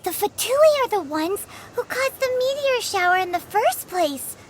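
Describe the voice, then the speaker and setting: Squeaky, very high-pitched little fairy girl. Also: sing-song, measured pace, slight background noise, heavily acted. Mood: excited, brash. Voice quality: squeaky, childlike. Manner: refers to self in third person, exclaiming. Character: tiny floating fairy companion girl, chirpy and brash. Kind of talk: anime-style game voice acting.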